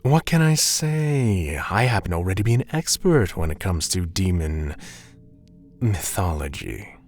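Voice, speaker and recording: A man playing a suave, teasing demon who poses as a student and speaks with theatrical politeness in an animated roleplay. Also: low-pitched, a little slow, faint music behind, exaggerated voice. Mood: mocking. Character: smug, suave male demon posing as a student. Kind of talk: scripted animated roleplay acting.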